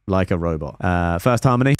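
A man's voice: low voice, fairly quick, clean tone, no echo. A young man, a music producer, casually talks through a track in his small home studio, sometimes scatting melodies to show what he means. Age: young adult. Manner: relaxed, scats melodies to demonstrate. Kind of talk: casual explanatory stream breakdown. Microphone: studio mic on boom arm.

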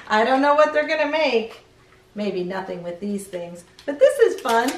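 A middle-aged woman hosting a children's read-aloud, expressive, reading picture-book lines and chatting to young viewers with questions. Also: medium pitch, a little hiss or hum. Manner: expressive, addressing small children with questions and exclamations. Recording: roomy echo, distant microphone.

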